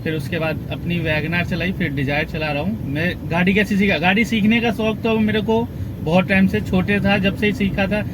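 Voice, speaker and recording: A man's voice: high-pitched, steady tone, moderate pace, noisy background. A young man from Bihar, a taxi driver, chatting through his day's rides and fares in a casual vlog from inside his car. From Bihar, India. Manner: chatty, running through fares and waits in detail. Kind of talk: casual driving vlog talk. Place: in a car, city traffic.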